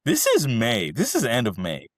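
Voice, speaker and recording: A man's voice: mid-range voice, wildly swooping pitch, normal speed, dry recording, clean studio sound. A man in his thirties with a Ugandan accent, telling his life story earnestly, with many fillers and restarts. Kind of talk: personal storytelling to camera.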